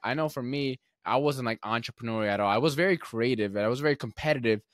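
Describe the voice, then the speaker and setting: A young man hosting a podcast, telling stories in a relaxed, rambling way with lots of filler words. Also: medium pitch, quick pace, no echo, studio quality. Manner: relaxed, rambling storyteller with many fillers and restarts. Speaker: podcast host. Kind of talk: casual podcast conversation.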